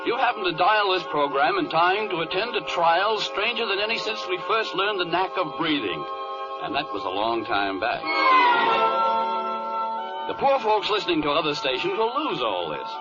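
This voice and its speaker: A man's voice: high-pitched, sing-song, moderate pace. A man playing a genial, wry storyteller who addresses listeners directly in 1940s radio verse narration. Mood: wry, amused. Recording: dull old radio recording under music. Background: loud music.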